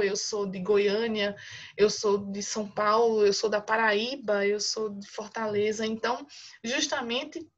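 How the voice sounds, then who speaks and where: A fairly high-voiced woman teaching a geography class online. She explains patiently and ends nearly every phrase with a quick tag question. The sound is dull and lo-fi, like a compressed video-class recording. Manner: explanatory, tag questions "né?" and "certo?" after each point.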